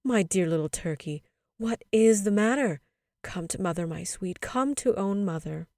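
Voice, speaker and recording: Lady reader doing a loving sad mum from a kids storybook, gentle n earnest, warm dark tone. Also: fairly low pitch, lively intonation, moderate pace, creaky, no echo, studio quality. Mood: affectionate, concerned. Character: tender, sorrowful young mother of small children.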